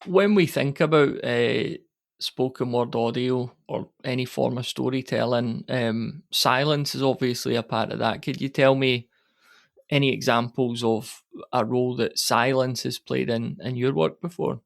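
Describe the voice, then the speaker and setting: A man hosting an interview, asking measured questions in a conversational way. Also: medium pitch, steady tone, gravelly. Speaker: podcast interviewer.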